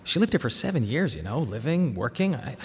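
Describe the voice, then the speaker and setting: A man's voice: medium pitch, big pitch swings, lightly acted. An actor playing a grieving, restless young father, earnest, awkward and reflective in a radio drama. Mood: wistful, awkward. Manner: halting, reflective. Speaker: narrator and protagonist. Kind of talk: radio drama narration and dialogue. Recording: reverberant hall, faint background noise.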